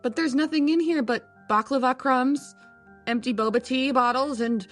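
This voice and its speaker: A woman's voice: sits high, moderate pace, soft background music, natural voice. A woman playing an earnest, flustered young circus adventurer in an audio drama, self-deprecating and a little hesitant. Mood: flustered, dejected. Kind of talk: audio drama character acting and narration.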